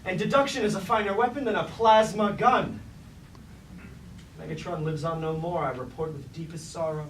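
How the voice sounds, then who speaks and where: A man's voice: on the high side, normal speed, lightly acted. An actor playing a scheming, grandiose robot king in a staged tragedy, speaking pompous, archaic theatrical lines. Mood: sly, disdainful. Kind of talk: staged reading of a mock Greek tragedy. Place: indoor staged reading, mic far from actor. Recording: roomy, distant, noisy live stage recording.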